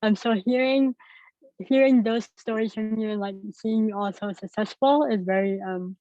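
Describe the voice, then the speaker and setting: A woman's voice: on the high side, normal speed, dark tone, no echo. A young woman moderates a panel over a video call and talks hesitantly, filling gaps and repeating herself. Her audio sounds muffled and dry, with no room to it. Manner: hesitant, lots of ums and repeats. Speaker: student moderator.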